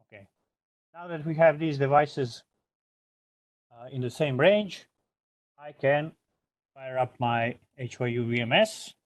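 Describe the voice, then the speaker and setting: A man's voice: medium pitch, unhurried, long pauses. Man giving a hesitant, informal tech walkthrough. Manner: informal, hesitant step-by-step explaining. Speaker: product trainer. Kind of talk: software tutorial demonstration.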